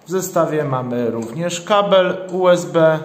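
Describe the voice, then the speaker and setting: Man, unboxing presenter, very slow delivery, matter-of-fact, halting phrasing, echoey indoor recording. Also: fairly high pitch, animated. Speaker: product reviewer, channel host. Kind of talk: unboxing presentation, step-by-step product description. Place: indoors, tabletop product shoot. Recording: roomy indoor echo.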